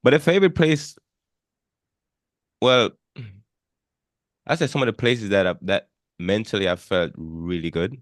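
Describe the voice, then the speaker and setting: A man hosting a podcast in an informal, animated chat, often repeating and doubling back on his phrases. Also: fairly low pitch, a little slow, pauses often, no echo, studio quality. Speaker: podcast host.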